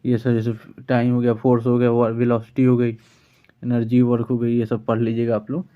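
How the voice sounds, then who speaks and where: A man's voice: medium pitch, monotone, brisk, smooth. A man with an Indian accent giving an informal exam-prep physics lesson as a teacher, working through questions. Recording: dull, lo-fi sound.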